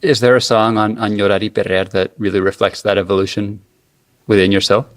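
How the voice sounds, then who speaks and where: A man's voice: lowish voice, little pitch movement, a little fast. A man hosting a radio interview, asking questions as the interviewer. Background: music.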